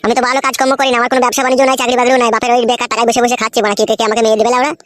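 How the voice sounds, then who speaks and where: A man's voice: extremely high pitch, monotone, racing, a bit bright, no echo, studio quality. A man voicing a brash, foul-mouthed young cartoon villager with a rural West Bengal accent, grumbling in crude comic banter. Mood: griping.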